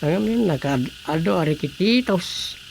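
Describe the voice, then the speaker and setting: A man's voice: sits high, expressive, a little slow, gravelly, a little hiss or hum, exaggerated voice. A man with a Filipino accent acting an easygoing husband in a radio drama. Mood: casual.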